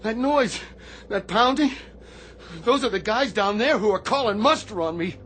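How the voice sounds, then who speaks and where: A tormented older Navy sailor in a radio drama, crushed by survivor's guilt. He speaks in halting, anguished repetitions, with faint noise behind him. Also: high-pitched, animated, fairly bright tone. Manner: anguished, halting, repeating himself. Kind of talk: dramatic radio theatre acting.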